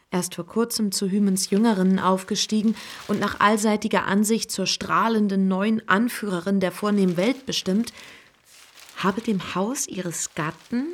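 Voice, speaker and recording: Ernste, pflichtbewusste junge Frau. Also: female voice, medium pitch, breathy edge. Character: earnest, dutiful young woman, modest and anxious. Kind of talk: radio drama acting.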